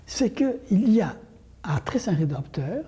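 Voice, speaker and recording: An older man answering interview questions with deliberate, detailed explanations, often rephrasing as he goes. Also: high-pitched, big pitch swings, mellow. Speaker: interview guest, language course creator.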